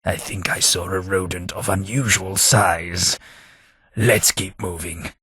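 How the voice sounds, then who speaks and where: A prim, sardonic companion. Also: male voice, sits low, fairly even intonation, slow, pushed and bright, husky, no echo, heavily acted. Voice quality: dry, sardonic delivery. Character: prim, snobbish British male companion, dry wit. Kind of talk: video game companion lines.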